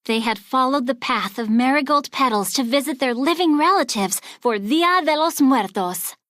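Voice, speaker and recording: A woman narrating a children's storybook aloud in an expressive, scripted read-along style. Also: high voice, moderate pace, dry recording, clean studio sound.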